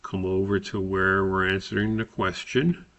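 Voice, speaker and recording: A man giving an informal software tutorial, thinking aloud in a halting way as he explains each step. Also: deep voice, flat delivery. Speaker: tutorial presenter. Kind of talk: informal software screencast walkthrough.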